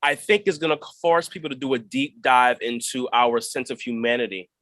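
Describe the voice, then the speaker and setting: A man who acts on stage, answering reflectively in an interview, measured and thoughtful, pausing mid-phrase. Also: mid-range voice, fairly bright tone, no echo, clean studio sound. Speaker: stage actor.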